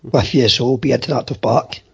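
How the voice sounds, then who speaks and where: A man's voice: medium pitch, moderate pace, vocal fry, husky. A man co-hosting a football podcast, joking and teasing. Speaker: podcast co-host. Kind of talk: casual football chat. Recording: dull lo-fi sound.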